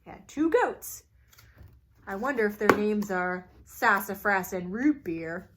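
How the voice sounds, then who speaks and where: A woman telling a folktale at a children's storytime, playful and animated, inviting the kids to join in. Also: mid-range voice, measured pace, heavy background noise. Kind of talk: storytime read-aloud for kids.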